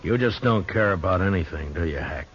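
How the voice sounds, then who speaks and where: Frontier lawman, very deep voice, American, measured and grave, 1950s radio western, dull recording. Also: lively intonation. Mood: grim, defiant. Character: steady, grim frontier marshal narrating his own story. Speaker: lead actor and narrator. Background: music.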